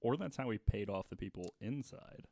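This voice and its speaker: A man's voice: fairly low pitch, lively intonation, moderate pace, vocal fry, warm tone, dry recording, studio quality. A man on a podcast panel, tossing in short quips in casual banter. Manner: quipping, interjects with short jokes.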